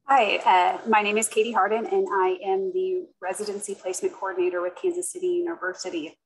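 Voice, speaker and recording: A middle-aged woman, a residency placement coordinator, introducing herself on a video call in a steady, businesslike way. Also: medium pitch, a little fast, poor audio.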